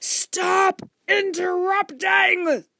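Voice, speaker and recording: A woman's voice: lowish voice, animated, a little fast, natural voice. A woman voicing a stern, exasperated father in a children's audiobook, speaking formally and emphatically. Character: stern, exasperated father shouting over his noisy family.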